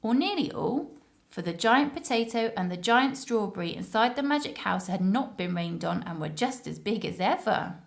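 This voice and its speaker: A woman's voice: medium pitch, lively intonation, moderate pace, creaky. A woman reading a story and chatting to young viewers in simple, child-directed phrases. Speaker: playgroup story reader and presenter.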